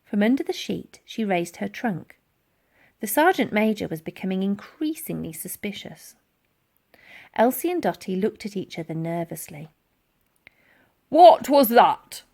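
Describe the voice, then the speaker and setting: A woman reading a children's storybook aloud as scripted, expressive narration. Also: medium pitch, normal speed, vocal fry. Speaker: storybook reader.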